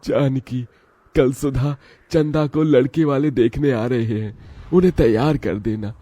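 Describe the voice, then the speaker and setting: A worn village father in a cartoon story, voiced by a man. He speaks earnestly and pleads, his voice mellow and slightly husky. The recording is dry and close. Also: medium pitch, unhurried, dry recording, natural voice. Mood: hopeful. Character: poor, anxious, devoted middle-aged village father. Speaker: father of five daughters. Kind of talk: dubbed cartoon drama.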